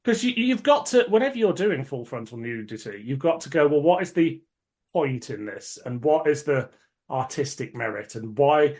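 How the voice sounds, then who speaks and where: A man hosting a podcast in casual chat, explaining things in a measured, conversational way. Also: on the high side, big pitch swings, darkish tone. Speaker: podcast host.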